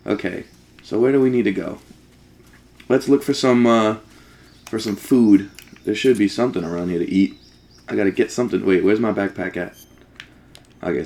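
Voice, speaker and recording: Man, medium pitch, casual gaming commentary, informal, swearing, thinking aloud. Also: darkish tone. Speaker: let's play gamer.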